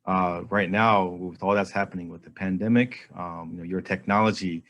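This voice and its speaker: A man's voice: deep voice, fairly even intonation, normal speed, fairly bright tone, highs cut off. A man hosting an online interview, enthusiastic and friendly, speaking over a video call. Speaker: host, executive director.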